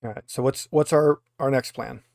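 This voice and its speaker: A man running a tabletop roleplaying game as game master, speaking haltingly as he thinks aloud. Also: mid-range voice, steady tone, creaky, darkish tone, no room sound, clean studio sound.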